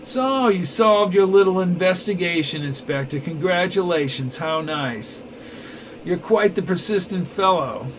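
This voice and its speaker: A man's voice: sits high, lively intonation, measured pace, a little hiss or hum, pitched above the performer's own voice, poor audio. A man voicing a cold, scheming male villain who speaks with clipped, imperious menace. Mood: taunting.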